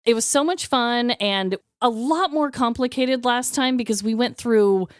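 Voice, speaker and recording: A woman's voice: a little high, unhurried, clean tone, no echo, clean studio sound. A woman co-hosting a podcast in casual chat, effusive and informal in how she talks. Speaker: podcast co-host.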